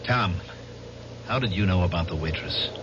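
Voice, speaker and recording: A man's voice: sits low, moderate pace, close to an everyday voice. An actor playing a determined male war veteran who presses for a confession, in 1970s radio drama style. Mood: accusing. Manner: insistent, interrogating. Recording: dull old radio broadcast with hiss.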